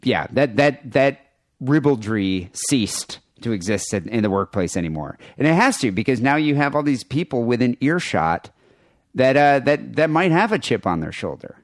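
Man, loud, piercing, nasal, mid-pitched, rambling, dry recording. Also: sing-song, moderate pace, dry recording. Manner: argumentative, rambling with many restarts. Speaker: podcast co-host. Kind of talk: casual chat.

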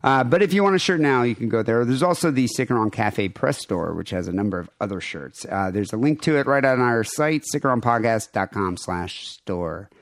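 A man's voice: mid-range voice, animated, fairly quick, hardly any pauses, dry recording, studio quality. A man co-hosting a podcast, rambling casually with crude, irreverent jokes and show plugs. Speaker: podcast co-host.

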